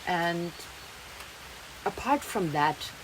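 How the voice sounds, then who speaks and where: A woman's voice: on the low side, lively intonation, very slow. A young woman with a German accent, rambling in a casual vlog monologue and slipping German words into her English. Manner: rambling, chatty, laughs often, slips German words in. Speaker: vlogger. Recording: noisy background, rain heard.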